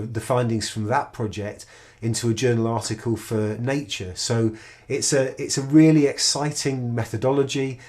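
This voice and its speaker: A man's voice: mid-range voice, darkish tone. A middle-aged man, a researcher, explaining a research method in an enthusiastic, explanatory way, often restarting his sentences. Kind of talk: recorded project presentation.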